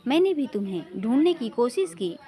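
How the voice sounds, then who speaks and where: A woman's voice: fairly high pitch, sing-song, a little fast, mellow. A woman voicing a caring young woman, a concerned old friend who questions insistently. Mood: apologetic.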